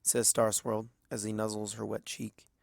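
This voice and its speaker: A man's voice: lowish voice, completely flat delivery, normal speed, vocal fry, no echo, clean studio sound. A man reading scripted fiction aloud as a story narrator.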